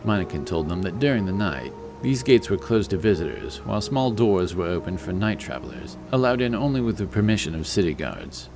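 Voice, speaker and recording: A man's voice: sits low, sing-song, a little fast, creaky, hardly any pauses, music in the background. A man giving scripted narration for a fantasy audiobook, in the role of storyteller.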